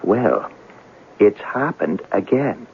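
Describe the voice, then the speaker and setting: Very animated folksy police sergeant. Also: male voice, on the low side, slow, creaky, a little hiss or hum, heavily acted. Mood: grave. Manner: hesitant, respectful, folksy grammar. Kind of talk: old-time radio drama acting. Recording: muffled vintage radio broadcast.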